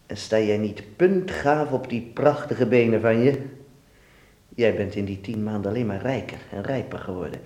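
A man's voice: fairly low pitch, moderate pace, a little mellow, lightly acted. A man acting a worldly, melancholy dance teacher in a radio play, musing and fatherly with his pupil. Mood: tender, wistful. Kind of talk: Dutch radio drama acting. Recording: echoey room sound.

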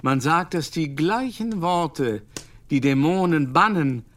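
An actor playing a learned English Jesuit doctor in a radio play, lecturing grandly and groping for German words. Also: male voice, fairly high pitch, animated, takes it slowly, a bit bright. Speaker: Jesuit scholar.

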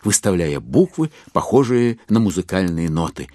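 A man's voice: low-pitched, sing-song, normal speed, warm tone, no room sound. A man narrating classic literature in a dramatic style for an audio play. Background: music under the narration.

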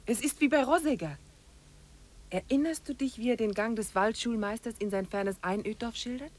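Pensive woman trekker, lightly high voice acted. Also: normal speed, lightly acted. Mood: lyrical. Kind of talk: 1950s German radio drama acting.

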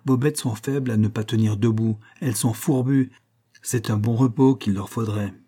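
A man's voice: medium pitch, steady tone, normal speed, warm tone, breathy edge, close to an everyday voice. A man voicing a blunt, sarcastic frontier man who gives advice in an adventure audiobook. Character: sardonic, blunt frontier onlooker giving advice, male.

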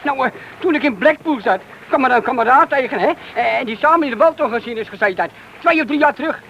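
An actor playing a frightened, shifty small-time crook in a radio play, pleading and stammering in slangy speech. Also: male voice, very high-pitched, animated, fast, bright, forceful tone, lo-fi sound, slight background noise, heavily acted.

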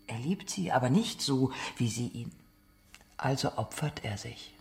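An actor playing a relentless, accusing interrogator in a German radio drama, speaking in short pointed phrases. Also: male voice, medium pitch, animated, a little slow, vocal fry. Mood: probing, controlled.

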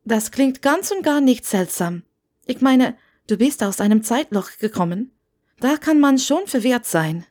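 A woman's voice: a little high, lively intonation, measured pace, a little mellow, pitched above the performer's own voice. Woman voicing a frank, teasing storybook witch. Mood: matter-of-fact. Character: friendly, mischievous female witch, blunt and self-assured. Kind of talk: audiobook character dialogue.

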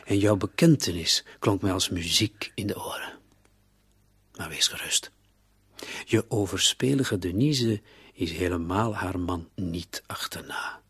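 A police commissioner in a radio play, with a rather low, rough-edged voice recorded dry and close. He is shrewd and sardonic, needling his suspect with taunting questions. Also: dry recording, heavily acted. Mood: gloating. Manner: sardonic, taunting, with rhetorical tags.

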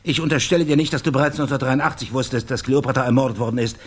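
An actor playing a bitter, sardonic private detective in a German radio crime drama, pressing for answers. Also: male voice, mid-range voice, fairly even intonation, racing, husky, natural voice. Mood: confrontational. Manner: sardonic, confrontational. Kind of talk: German radio play acting.

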